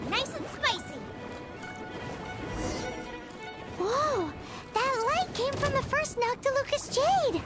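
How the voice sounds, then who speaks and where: A tiny fairy sidekick from a video game, extremely high and squeaky. The delivery swings up and down, excitable, chatty and a little bossy, like a child. Loud game music plays behind it. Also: female voice, unhurried, heavily acted. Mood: startled, excited. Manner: exclamatory, speaks of itself in third person.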